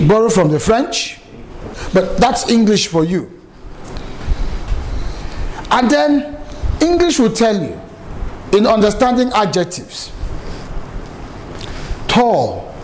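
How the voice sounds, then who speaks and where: A Nigerian man in middle age gives a public speaking lesson, telling stories with emphatic energy. His voice sits fairly high and swings widely in pitch. A faint hum of room ambience sits beneath him. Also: poor audio. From Nigeria, raised in Lagos. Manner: animated, emphatic storyteller. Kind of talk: lively spoken lecture with anecdotes.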